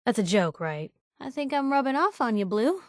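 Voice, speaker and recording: A woman's voice: on the high side, big pitch swings, moderate pace, dry recording, clean studio sound. An actress playing a plucky, wisecracking young woman reporter and caring companion in a video game, with a wry, sardonic manner. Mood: wry, teasing.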